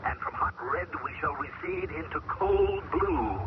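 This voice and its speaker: An actor playing a man taken over by an alien mind, who talks calmly and measures his words in an old radio drama. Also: a little high. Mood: eerie. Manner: calm, measured, searching for words. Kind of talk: 1970s radio drama acting. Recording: dull old radio broadcast with loud hiss.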